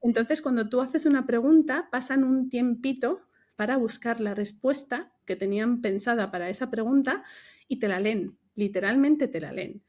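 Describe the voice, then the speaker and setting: Higher-voiced woman chatting. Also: normal speed, darkish tone. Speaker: podcast guest, recruiter. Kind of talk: casual conversational anecdotes.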